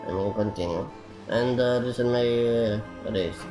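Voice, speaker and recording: A man livestreaming a game with casual, rambling chat in halting phrases. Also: medium pitch, over music. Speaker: game streamer.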